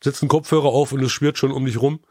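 A man's voice: medium pitch, steady tone, fast talker, dark tone, slightly rough, dry recording. Man explaining casually in a dry recording. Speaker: podcast regular, sound specialist.

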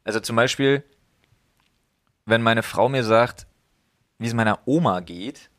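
Man, thirties, podcast co-host, casual and rambling, average pitch. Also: measured pace. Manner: rambling, reflective, many fillers. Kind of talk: casual chat.

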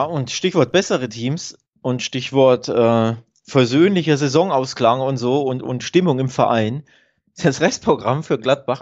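A man's voice: medium pitch, a little mellow. Hesitant man on dull lo-fi audio. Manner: hesitant, cautious, hedging opinions. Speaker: betting podcast co-host. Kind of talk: casual football analysis chat.

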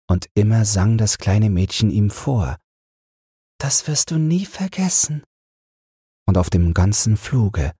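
Very breathy, slow, low-voiced man narrating. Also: no room sound, studio quality. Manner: gentle, soothing, measured. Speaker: audiobook narrator. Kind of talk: scripted bedtime fairy-tale narration.

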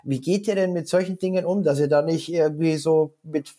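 A man's voice: on the high side, steady tone, brisk, mellow. A man hosting a podcast interview, colloquial and circling his way toward each question. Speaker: podcast host, editor-in-chief. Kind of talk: conversational interviewing.

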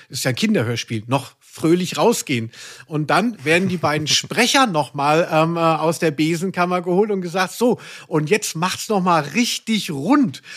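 A man co-hosting a podcast, discussing audio plays casually in a wordy, hesitant way. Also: on the high side. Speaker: podcast co-host.

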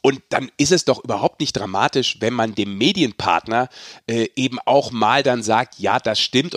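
A man's voice: lowish voice, fairly bright tone, no echo, studio quality. A man hosting a sports podcast, talking casually but earnestly and giving his opinions. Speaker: podcast host and sports reporter.

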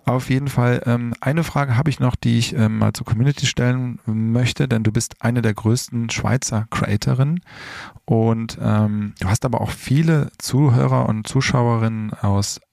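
Creaky-voiced man asking interview questions. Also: medium pitch, fairly even intonation, moderate pace, a little mellow, dry recording, studio quality. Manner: hesitant with many fillers. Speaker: interviewer, host.

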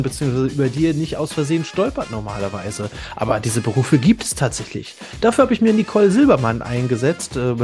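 A man's voice: mid-range voice, darkish tone. A man hosting a podcast in a casual, chatty interview style, addressing listeners directly in informal language. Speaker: podcast host.

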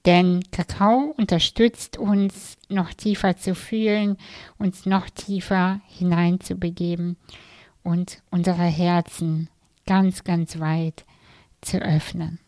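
A woman hosting a podcast, speaking softly and gently, with hesitant fillers in casual chat. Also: on the low side, level tone, takes it slowly, dry recording. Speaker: podcast host. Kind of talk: calm spoken intro and casual interview.

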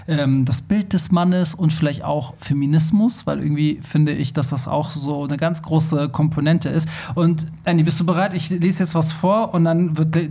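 Male podcast host, casual and chatty, fairly high voice, soft-edged and relaxed timbre. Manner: chatty, informal.

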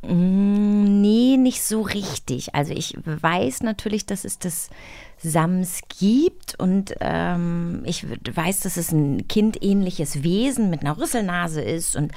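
A woman's voice: fairly low pitch, expressive, slow pace, dark tone, no room sound. A woman co-hosting a radio magazine in a casual, chatty interview style. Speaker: co-host of a radio play magazine.

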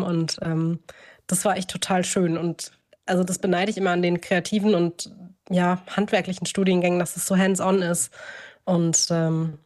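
A woman with a mid-pitched voice hosts a casual interview. She sounds enthusiastic and inquisitive, chatting freely and thinking aloud. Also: a little mellow. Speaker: podcast co-host.

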